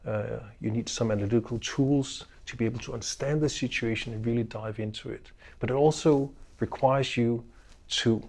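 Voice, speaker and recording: Professor, male, middle-aged, Danish accent; calm, unhurried lecture delivery, filler-heavy, average pitch. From Denmark. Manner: measured, unhurried delivery with frequent ums and uhs. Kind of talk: recorded course introduction lecture.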